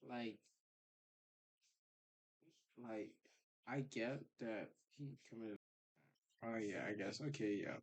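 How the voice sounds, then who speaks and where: A man giving casual, slangy reaction commentary, thinking out loud as he goes. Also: on the low side, slow, pauses often, rough recording.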